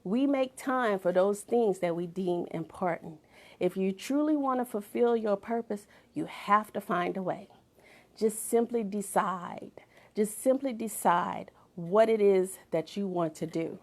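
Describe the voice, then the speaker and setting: Gravelly-voiced woman preaching purpose. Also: medium pitch, unhurried, darkish tone. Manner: emphatic, repeats phrases for effect, drops to whispers. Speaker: motivational speaker and author. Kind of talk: inspirational talk with preaching.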